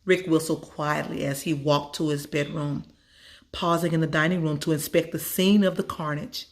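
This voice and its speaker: A woman's voice: low voice, steady tone, normal speed. A woman storyteller narrating a children's story from a script.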